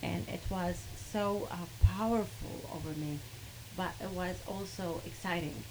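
A woman with an Arabic accent who speaks haltingly, with many fillers, and laughs between sentences as she tells a personal story. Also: lowish voice, steady tone, noisy background. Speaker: guest sharing a testimony.

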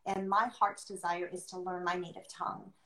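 A middle-aged woman speaking reflectively and conversationally on a livestream, earnest and deliberate, pausing as she chooses her phrases. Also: on the low side, level tone, crowd noise. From born outside the US, adopted into America. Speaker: podcast host sharing her experience as an adoptive mother. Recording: roomy, echoing sound.

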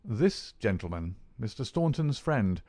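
A man doing a crisp, measured detective. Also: medium pitch, big pitch swings, dark tone, no room sound, studio quality. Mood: calm. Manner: measured, precise, formal. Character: cool, shrewd male detective, mischievous. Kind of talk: audiobook character voice.

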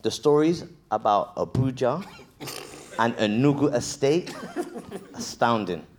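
A man's voice: mid-range voice, lively intonation, unhurried, a little hiss or hum. A man introducing guests on stage, playful and joking, tripping over names. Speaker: event host. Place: stage before a live audience.

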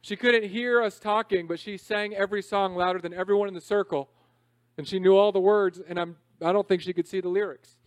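Man, late thirties, pastor giving a sermon, high voice, casual self-mocking asides, dry close recording. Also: steady tone, brisk, no echo. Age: 30s. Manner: conversational, self-deprecating, swings between loud emphasis and quiet asides.

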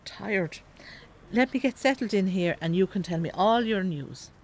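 A woman's voice: medium pitch, expressive, normal speed. An actress playing a tired, ailing elderly mother in a radio drama. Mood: tired, affectionate. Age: older.

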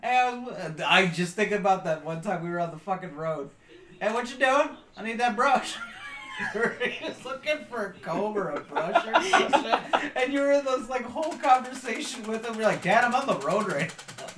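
A man's voice: sits high, moderate pace, roomy sound, crowd noise. A young man hosting a casual chat, swearing a lot and laughing often as he jokes. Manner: profane, laughing, animated joker. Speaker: podcast host.

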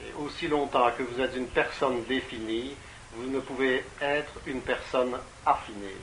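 A man's voice: medium pitch, unhurried, fairly bright tone, poor audio. A man with a mid-range voice translates a speaker into French, line by line. He hesitates often and repeats words while searching for the next phrase. Faint noise sits under the recording. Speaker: interpreter. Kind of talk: consecutive interpretation into French.

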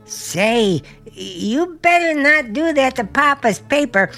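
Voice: high-pitched, big pitch swings, slow pace, sharp tone, soft background music, exaggerated voice. A performer playing an inquisitive young boy in a radio drama. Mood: curious. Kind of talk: mid-century American radio drama acting.

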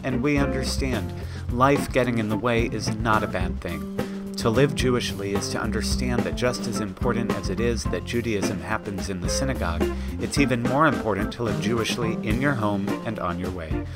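A man's voice: lowish voice, normal speed. A man reads a scripted podcast introduction in a welcoming, reassuring manner. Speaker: podcast announcer for a synagogue. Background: loud plucked guitar music.

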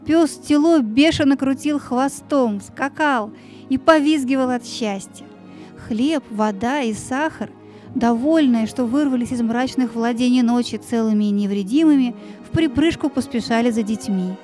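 A woman's voice: on the high side, moderate pace, a little mellow, soft background music. A woman narrator telling a fairy tale expressively in an audio play.

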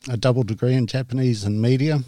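A man's voice: medium pitch, steady tone, fast, warm tone, no echo. A middle-aged man with a New Zealand accent, a podcast guest telling his story in a hesitant, self-deprecating way with many ums. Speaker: guest, media director. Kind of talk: casual interview talk.

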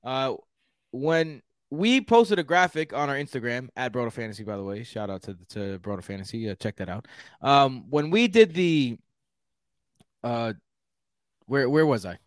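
A man's voice: medium pitch, animated, normal speed, no room sound, clean studio sound. A man from Houston co-hosting a casual sports podcast. He talks in a rambling way and repeats words while he thinks. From Houston, Texas. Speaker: podcast co-host.

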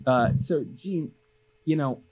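A man's voice: a little high, slow, a little mellow, a little hiss or hum, low-quality recording. A man from the Boston area hosting a podcast in a casual, conversational interview style, recorded in a studio. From Boston area, United States. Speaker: podcast host.

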